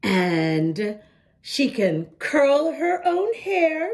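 A woman reads aloud at storytime for small children. Her voice sits high and her pace is unhurried. She breaks off from the book to chat directly with her young listeners. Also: sing-song, a little mellow. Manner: chatty asides to young listeners.

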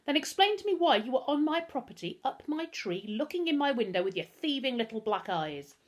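A woman's voice: sits high, big pitch swings, a little fast, pitched above the performer's own voice. A woman voicing a suspicious, bullying man who snarls accusations and threats in a children's audiobook. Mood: accusing, menacing.

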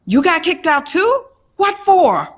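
A woman's voice: very high-pitched, sing-song, takes it slowly, heavily acted. An actress playing a fretful, exasperated mother of an unruly family in an audio drama.

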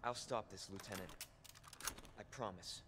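An actor playing a determined, grieving young police officer in a dramatic video game. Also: male voice, fairly low pitch, normal speed, natural voice. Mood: grim, distressed. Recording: quiet game audio under heavy noise.

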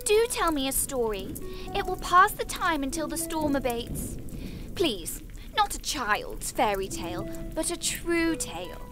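Woman acting high-voiced, yearning genteel teenage girl. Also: very animated, a little slow, music in the background. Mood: pleading. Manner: ornate, formal period diction. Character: restless, yearning sixteen-year-old girl of genteel period household. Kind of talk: audio drama acting and storytelling.

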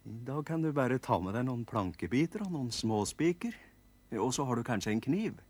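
A man voicing a kindly male Christmas gnome who talks calmly and persuasively. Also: mid-range voice, breathy edge. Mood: coaxing. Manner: calm, coaxing.

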